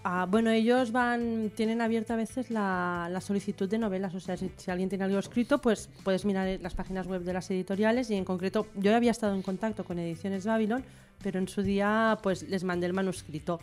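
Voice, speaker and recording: A woman's voice: mid-range voice, fairly even intonation, brisk, darkish tone, soft background music. A middle-aged bilingual Catalan and Spanish woman with a Barcelona accent, explaining her writing chattily as an interview guest. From Barcelona, Spain. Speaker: guest writer. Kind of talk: informal interview conversation.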